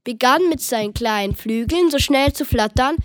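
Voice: high-pitched, moderate pace, fairly bright tone, dry recording, clean studio sound, faint music behind. A child reading scripted narration for a children's story.

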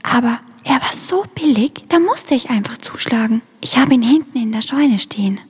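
A child acting a gentle, worried Christmas spirit with a breathy, half-whispered voice in a school radio play. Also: medium pitch, animated, moderate pace. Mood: explaining.

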